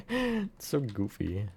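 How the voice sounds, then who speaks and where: A man's voice: fairly high pitch, husky. Laid-back man streaming while building. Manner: relaxed, chatty, answers chat, counts blocks aloud. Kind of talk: casual live-stream chat while building.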